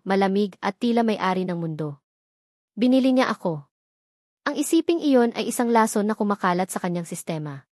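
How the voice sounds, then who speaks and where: A woman narrating a dramatic love story with an even, dramatic storytelling delivery. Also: medium pitch, dry recording, clean studio sound.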